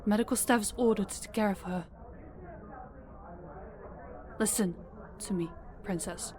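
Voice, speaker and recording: Woman playing a composed young princess, mid pitch. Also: fairly quick, a little mellow, lightly acted. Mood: soothing, urgent. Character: young princess, composed, dutiful, quietly protective. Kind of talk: audio roleplay drama acting.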